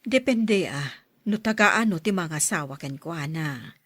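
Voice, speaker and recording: A woman's voice: lowish voice, animated, measured pace, dry recording. A woman playing an obliging, inquisitive worker's wife and mother in an audio drama, with a deferential manner. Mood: curious.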